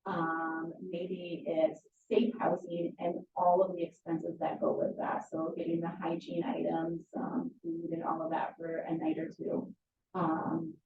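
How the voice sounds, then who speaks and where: A woman presents her agency's services to a county board in a steady, explanatory way, often pausing on um. Her voice is warm and a bit low. The recording is muffled and distant, with room echo and faint noise. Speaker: victim services advocate.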